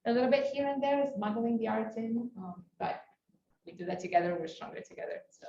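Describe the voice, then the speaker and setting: A woman's voice: a little high, expressive, a little fast, warm tone, slight background noise. A woman from Italy speaking up at a conference, earnest and friendly, with some fillers. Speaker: conference participant. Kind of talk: unscripted audience comment. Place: conference room. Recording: roomy, dull sound.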